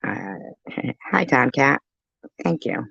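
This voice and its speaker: A woman's voice: extremely low pitch, expressive, takes it slowly, vocal fry, fairly bright tone, slightly rough, no echo, lo-fi sound. A woman giving casual reaction commentary on a live stream, chatty and argumentative as she interjects.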